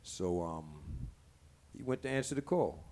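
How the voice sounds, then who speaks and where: A man's voice: medium pitch, animated, measured pace, mellow. A man speaking as a guest on a talk show, in casual, earnest, informal talk. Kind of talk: casual chat. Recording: clearly noisy live-stream room sound.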